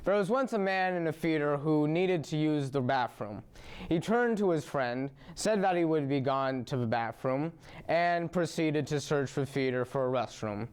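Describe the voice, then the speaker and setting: A young man with a smooth, clean voice reads a prepared opinion piece in a close voice-over. His voice sits a little high for a man. He paces each sentence with earnest, deliberate care, like a student commentator making a case. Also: expressive. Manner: earnest, measured, deliberate delivery. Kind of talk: reading an opinion essay.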